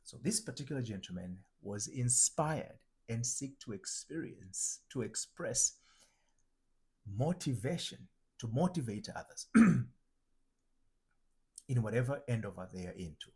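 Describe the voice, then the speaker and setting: A man's voice: medium pitch, sing-song, measured pace, mellow, husky. A man from Tanzania, an identity transformation coach, giving a reflective motivational talk that circles back and restates its points. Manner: reflective, repeats and restarts phrases while building a point. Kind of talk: motivational teaching monologue.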